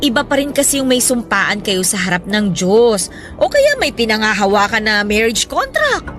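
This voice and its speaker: A woman's voice: on the high side, very animated, normal speed, a bit bright. A woman playing a concerned, persistent confidante in an audio love drama, with a pressing, questioning manner. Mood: insistent. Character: concerned, persistent woman urging a couple to marry. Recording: music bed behind the voice.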